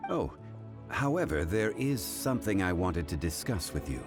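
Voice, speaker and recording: Composed old scholar, very low voice, formal and unhurried, game music behind. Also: male voice, very animated. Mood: calm. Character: calm dignified ancient man, composed scholar.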